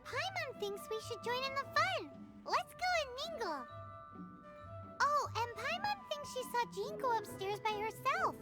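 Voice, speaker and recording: A woman's voice: extremely high pitch, very animated, a little slow, heavily acted. A woman voicing a tiny, childlike, chirpy and nosy fairy companion in an anime game dub. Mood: chirpy, curious. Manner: speaks of self in third person, exclaims. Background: playful music.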